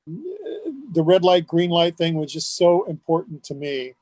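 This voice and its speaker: A man's voice: on the high side, very animated, measured pace, a little mellow, no echo. An older man being interviewed, reminiscing about what he remembers. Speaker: interview guest.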